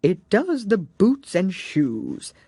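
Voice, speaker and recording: Storybook Gryphon, female reader: bossy, impatient, solemn know-it-all beast, raised pitch, very animated, punchy. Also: slow pace, slightly rough, no room sound, clean studio sound, full character voice. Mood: solemn, emphatic. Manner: brusque, emphatic, know-it-all. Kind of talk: children's storybook character reading.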